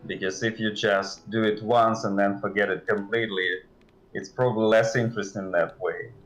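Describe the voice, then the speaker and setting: A man with a fairly low voice talks as a guest developer on a casual stream. He is polite and self-effacing, feeling his way through sentences with hesitant pauses. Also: fairly even intonation, soft background music, rough recording. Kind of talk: casual chat on a livestream. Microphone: voice call.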